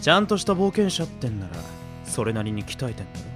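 A rough, sneering male weapon hoarder who laughs at those in his way, voiced by an actor. His pitch leaps around a middle range. Faint music sits behind him. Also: sing-song, quick pace, lightly acted. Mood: mocking. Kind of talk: radio drama acting.

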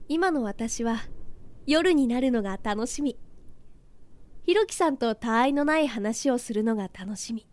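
A woman's voice: a little high, lively intonation, unhurried. A woman playing a tender, wistful twenty-year-old village girl in a Japanese radio drama, full of longing. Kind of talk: radio drama acting with inner monologue.

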